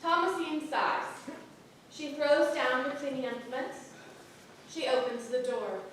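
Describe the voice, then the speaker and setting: A woman's voice: high-pitched, unhurried, fairly bright tone. A woman narrating, reading out stage directions at a staged reading of a play. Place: indoor playhouse before an audience. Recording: very echoey theater, distant noisy mic.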